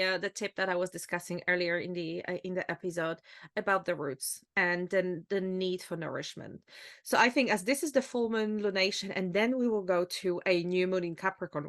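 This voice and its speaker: Polish-accented woman chatting reflectively. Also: lowish voice. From Poland. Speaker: astrology podcast co-host. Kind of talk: casual reflective chat.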